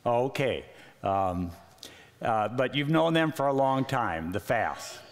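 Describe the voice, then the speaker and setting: A man's voice: medium pitch, lively intonation, slow pace. A middle-aged man pastoring a church, introducing guests in a hesitant, informal way. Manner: hesitant, informal, filler-heavy. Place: church sanctuary. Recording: long hall reverb.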